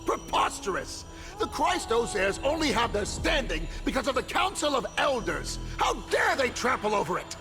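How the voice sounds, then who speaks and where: A woman's voice: a little high, sing-song, moderate pace, over music. An actress playing a haughty, indignant woman in a video game, imperious and outraged in her delivery.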